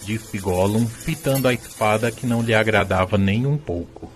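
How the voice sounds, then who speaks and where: A man with a Brazilian accent narrating a scripted audio drama with dramatic, deliberate phrasing. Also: fairly low pitch, slight background noise. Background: music bed.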